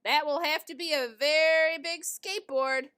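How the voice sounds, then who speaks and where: A woman playing a jolly old man in a storybook, loud and hearty. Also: sits high, fairly even intonation, moderate pace.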